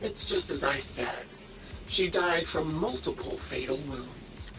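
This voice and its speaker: A sadistic anime game villainess who toys with her prey. Her delivery is slow and mocking, swinging widely in pitch, and it sounds slightly distant beneath clear music. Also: animated, fairly bright tone, heavily acted. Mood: smug teasing. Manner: mocking, teasing, drawn-out delivery. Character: sadistic, theatrical villainess taunting a young sniper.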